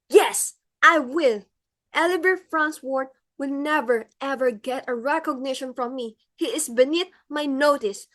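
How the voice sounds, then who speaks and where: A woman's voice: sits high, a bit bright. A woman playing a flustered, imperious society mother in a stage comedy, with emphatic, theatrical exclamations. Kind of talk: comedic stage-play acting.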